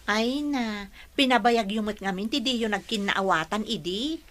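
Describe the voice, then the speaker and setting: A woman's voice: mid-range voice, lively intonation, normal speed, fairly bright tone, natural voice. An actress with an Ilocano accent playing a caring young female confidante in a radio drama. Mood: concerned, consoling.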